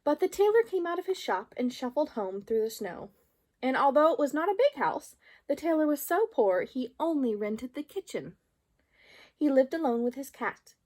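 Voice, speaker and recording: A woman storyteller reading a children's book aloud in an expressive narrating style. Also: sits high, moderate pace.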